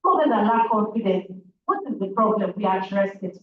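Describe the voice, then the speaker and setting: A woman's voice: medium pitch, animated, brisk. A woman with a Nigerian accent, a native Igbo speaker, giving an earnest, emphatic talk as a speaker at a public event. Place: large event hall. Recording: echoey hall, distant muffled mic, audience noise.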